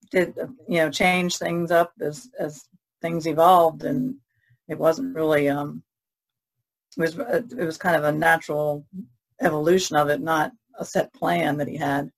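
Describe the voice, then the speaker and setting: Soft-spoken lower-voiced woman. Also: flat delivery, measured pace, vocal fry, pauses often, rough recording. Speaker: podcast regular, painter. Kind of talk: casual video-call chat.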